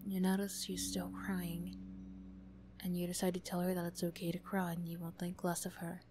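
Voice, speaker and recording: Hushed woman narrating an ASMR story. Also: fairly low pitch, monotone, moderate pace, slight background noise. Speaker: narrator of an audio roleplay.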